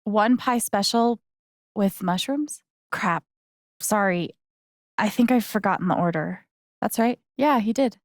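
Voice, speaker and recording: A warm, slightly awkward young woman from a narrative video game, played by an actress. She talks slowly and casually, with natural hesitations and a sarcastic, emotional streak. Her mid-pitched voice is recorded very dry. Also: no room sound, natural voice. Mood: friendly, easygoing, then flustered. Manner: casual, halting, conversational.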